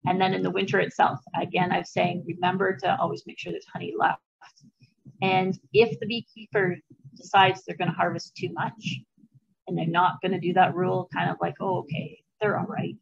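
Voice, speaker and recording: A woman's voice: lowish voice, normal speed, vocal fry, a little hiss or hum. An older woman beekeeper giving a chatty, anecdotal presentation over slides on a video call, with frequent fillers and asides. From Canada. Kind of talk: casual informal talk over slides. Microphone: video call webcam. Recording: dull lo-fi video call audio.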